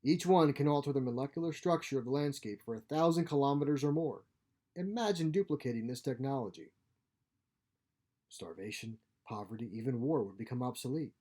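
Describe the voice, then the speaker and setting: A man's voice: medium pitch, brisk, mellow, natural voice. A man voicing a genial grandfatherly scientist for a story, courteous, proud and theatrical in manner. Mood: proud, enthusiastic. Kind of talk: storybook character voice.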